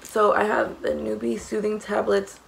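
Raspy young woman, gravelly fry, rambling chatty vlog, faint hiss. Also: mid-range voice, moderate pace. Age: young adult. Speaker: mom vlogger. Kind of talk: casual show-and-tell vlog. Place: small indoor room against a plain wall.

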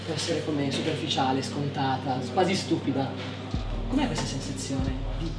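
A man's voice: medium pitch, steady tone, moderate pace. A young man conducts a sports interview with long, deferential questions. His voice is roomy and set back from the microphone, with music behind it. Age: young adult. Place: indoor lounge, seated on a sofa. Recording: echoey room, distant mic, music underneath. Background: background music.

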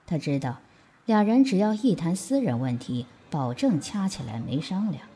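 A woman's voice: lowish voice, big pitch swings, moderate pace, dark tone, breathy edge. A woman reading scripted narration for an audio drama.

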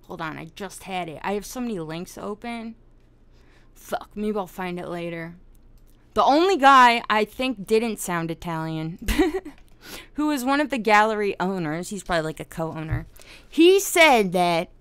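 A woman's voice: mid-range voice, lively intonation, unhurried, fairly bright tone, smooth, no echo. A woman hosting a casual live-streamed discussion, swearing often and laughing as she tells the story. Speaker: podcast host.

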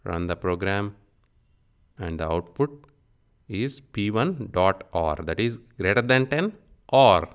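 A man with a low, very clear and smooth voice teaches programming. He speaks in short instructional phrases, dictating each step of a software tutorial as he goes. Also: animated, slow, pauses often.

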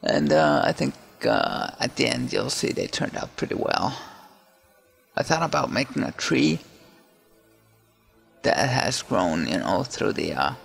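A man casually narrating his own digital painting in a relaxed, hesitant way, full of fillers and restarts, over faint music. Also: medium pitch, unhurried, gravelly, pauses often, husky.

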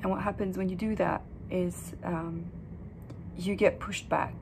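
A young woman vlogging to camera from a hotel room, talking softly and reflectively, hesitant with fillers. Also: fairly low pitch, steady tone, a little slow, creaky, noisy background. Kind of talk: casual vlog talk to camera.

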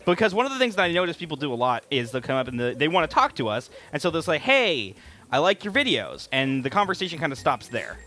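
A man doing casual gaming commentary, talking in an emphatic, excitable way and repeating words for emphasis. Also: fairly high pitch, fast. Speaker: gaming video creator. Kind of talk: casual co-commentary over gameplay.

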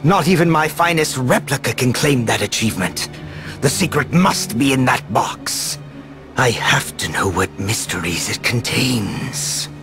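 Scheming game villain scientist, gravelly, slow haughty drawl, loud music behind. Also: male voice, medium pitch, animated. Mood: eager fascination. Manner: theatrical, haughty, drawn-out delivery. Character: vain, scheming, obsessive male scientist villain. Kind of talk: video game cutscene acting.

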